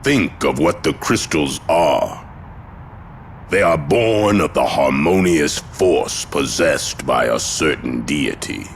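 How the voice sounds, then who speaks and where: An actor playing a sinister, pompous villain who worships the void in a video game, with a deep gravelly voice and grandiose delivery. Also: male voice, expressive, takes it slowly, a little hiss or hum, heavily acted. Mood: smug menace. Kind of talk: theatrical video game voice acting.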